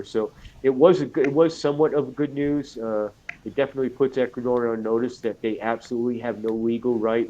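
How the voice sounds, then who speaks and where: A man with a cold, talking casually as a guest on an online radio show, halting with fillers and restarts. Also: medium pitch, mellow, muffled recording, slight background noise. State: has a cold.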